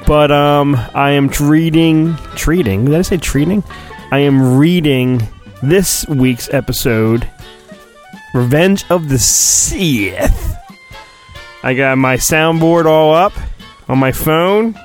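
A man's voice: on the high side, takes it slowly, faint music behind. A man hosting a podcast, casual and self-deprecating, reading a children's storybook aloud in a playful mock-dramatic way. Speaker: podcast host.

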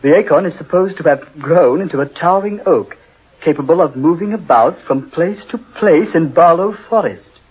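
A man playing an earnest, uneasy gentleman adventurer in 1940s radio horror, speaking with dramatic gravity. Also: fairly high pitch, moderate pace, breathy edge. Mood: grave. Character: Danvers, uneasy gentleman adventurer, grimly telling a legend. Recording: muffled old radio recording, music behind.

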